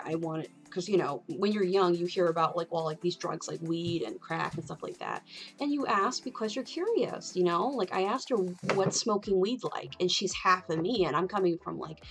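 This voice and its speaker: Low-pitched woman chatting candidly over music. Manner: candid, rambling, frequently swearing. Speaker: livestream host. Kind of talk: casual livestream chat.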